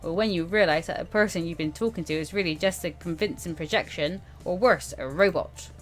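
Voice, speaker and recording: A woman's voice: on the low side, brisk, lightly acted. A woman voicing an experienced male mentor in a visual novel, dry, sardonic and lecturing. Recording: music behind the voice.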